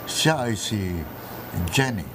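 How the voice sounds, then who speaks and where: A man's voice: lowish voice, animated, slow, noisy background. A man playing a formal radio drama narrator, announcing a story in a declamatory style.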